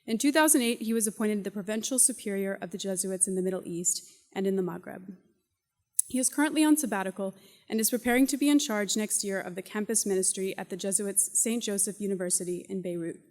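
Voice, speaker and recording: Woman, event host, prepared introduction, quick, long hall reverb. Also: medium pitch, darkish tone.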